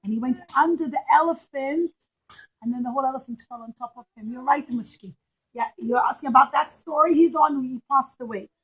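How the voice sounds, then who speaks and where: Female children's storyteller, high voice, emphatic and repetitive delivery for a young audience. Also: a little fast, husky, rough recording. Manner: emphatic, repeats phrases for children. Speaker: children's storyteller and puppet show presenter.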